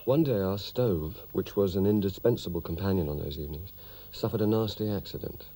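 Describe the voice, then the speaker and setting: A man's voice: sits low, brisk, mellow, slightly rough. An actor playing a wry, weary British wartime airman in a radio play, with a dry, droll, articulate delivery. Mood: wry narration. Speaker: narrator and character.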